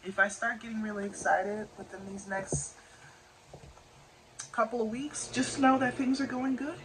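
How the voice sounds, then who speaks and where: A woman's voice: fairly high pitch, steady tone, a little slow, noisy background. A woman in her twenties talking casually to camera in a vlog, thinking aloud and often asking "you know?". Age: 20s. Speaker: vlogger.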